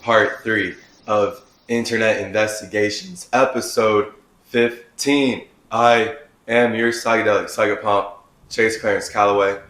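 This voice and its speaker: A man with a raspy, strained voice that is giving out, delivering a heated, ranting monologue as a vlogger. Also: on the low side, little pitch movement, a little slow, fairly bright tone, reverberant. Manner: heated, ranting, with run-on sentences. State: losing his voice. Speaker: vlogger host. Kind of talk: agitated monologue to camera. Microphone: phone.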